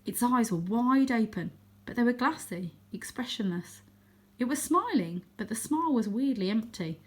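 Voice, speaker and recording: A woman narrating a children's book aloud as a storyteller, with expressive delivery. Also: on the high side, moderate pace, breathy edge. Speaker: storybook reader.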